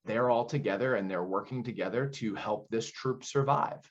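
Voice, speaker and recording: Male director as interviewee, lowish pitch, conversational explainer who poses his own questions, dull recording. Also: normal speed. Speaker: audio play director being interviewed.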